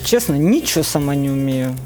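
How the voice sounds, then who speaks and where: A woman's voice: low-pitched, animated, a little fast, a little mellow. A woman answering questions conversationally as an interview guest, speaking about her own experiences. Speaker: interview guest, solo motorcyclist and climber. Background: faint music.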